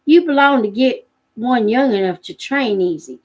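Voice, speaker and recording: A woman's voice: fairly high pitch, lively intonation, normal speed, a little mellow. A woman voicing a patient, practical backwoods father and hunter in a storybook, explaining things in homespun rural dialect. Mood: gently firm.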